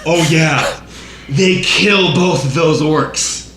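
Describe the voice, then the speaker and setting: A man's voice: fairly high pitch, drawn-out words. A man on a podcast giving a profane, exasperated, emphatic movie rant among friends, repeating phrases for effect. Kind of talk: casual ranting movie review among friends. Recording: roomy echo, faint background noise.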